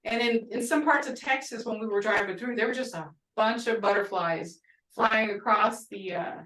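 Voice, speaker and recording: Instructor with an Oklahoma twang, talkative and laughing, somewhat high voice, echoey and far from the mic. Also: female voice, moderate pace, sharp tone. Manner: chatty, laughing often, storytelling asides. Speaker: language instructor. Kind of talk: casual online class teaching. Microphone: video call. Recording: roomy, distant video-call audio.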